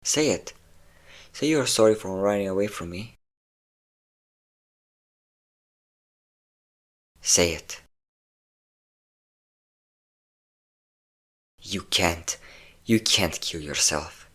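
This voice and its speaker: Man acting out a low-voiced, possessive vampire lover. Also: animated, unhurried, a bit bright. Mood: desperate pleading. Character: possessive, obsessive male vampire lover, menacing and pleading. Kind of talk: intimate roleplay audio drama.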